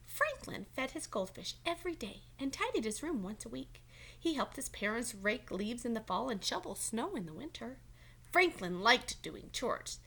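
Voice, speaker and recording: A woman's voice: fairly high pitch, pushed and bright. A woman reading a storybook aloud as a teacher, encouraging and stopping to check in with young listeners. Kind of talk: storybook read-aloud with questions to children.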